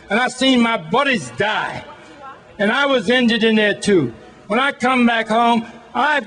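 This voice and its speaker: Older male, forceful and high-pitched, for a heartfelt, homespun protest speech. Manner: plain-spoken, folksy. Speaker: veteran speaking at a rally. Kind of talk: impassioned rally speech. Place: outdoor street rally stage. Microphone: stand microphone through PA.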